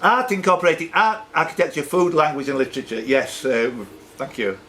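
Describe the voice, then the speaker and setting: Animated higher-voiced man hosting a live quiz. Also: brisk. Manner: jokey, chatty asides between questions. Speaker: quiz host and question reader. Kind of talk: live pub-style quiz reading with off-the-cuff banter. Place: sports and social club room. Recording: noisy live room recording.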